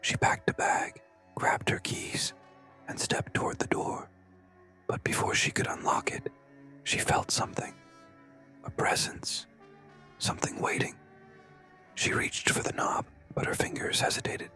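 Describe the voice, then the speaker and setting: A narrator telling a scary story in a breathy, unvoiced whisper, hushed and suspenseful, with pauses. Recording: music behind. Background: background music.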